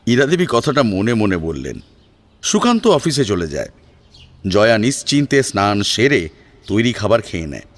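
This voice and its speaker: A man's voice: on the low side, very animated, normal speed. A man narrating a dramatised family story in a scripted storytelling style.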